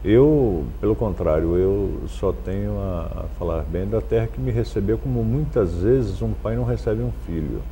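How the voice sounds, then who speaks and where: A middle-aged Brazilian man talking as an interview guest, conversational and earnest, somewhat halting as he goes. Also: fairly low pitch, dark tone, slight background noise. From São Paulo, Brazil.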